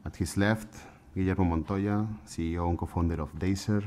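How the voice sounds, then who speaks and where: A middle-aged man moderating a conference panel, introducing speakers in halting phrasing with fillers, into a table microphone. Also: low-pitched, steady tone, darkish tone, slight background noise. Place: conference room on a panel stage.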